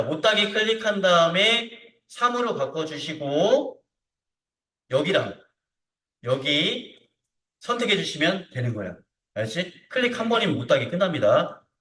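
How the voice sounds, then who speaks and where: Guy teaching software step by step, kinda high voice, keeps checking you get it, mic sounds far off. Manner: informal, repeatedly checks understanding with short tag questions. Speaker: CAD instructor. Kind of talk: hands-on software tutorial lesson. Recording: roomy echo, distant microphone.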